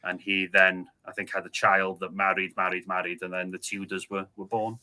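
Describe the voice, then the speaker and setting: Deep bright-toned North Welsh man. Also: flat delivery. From Wales. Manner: explanatory, measured, with fillers. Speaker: podcast guest. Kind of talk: casual podcast conversation.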